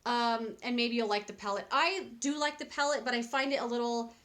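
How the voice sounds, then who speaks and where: A fairly high-voiced woman in her thirties talks casually to a close camera in a small cluttered room at home. Her review is chatty and candid, full of fillers and restarts, and she rambles along as she speaks. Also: fairly quick, fairly bright tone. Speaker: beauty vlogger.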